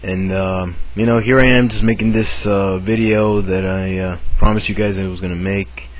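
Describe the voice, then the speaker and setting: A man with a cold and a sore throat, chatting casually to camera in a halting way, full of fillers and restarts. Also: sits low, fairly even intonation. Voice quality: raspy. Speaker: video maker.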